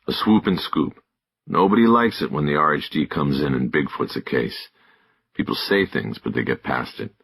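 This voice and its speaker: A man's voice: extremely low pitch, moderate pace, a bit bright, slightly rough, no room sound, full character voice. A man voicing a seasoned, plain-spoken police detective, a firm and encouraging mentor, in audiobook dialogue. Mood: firm advising.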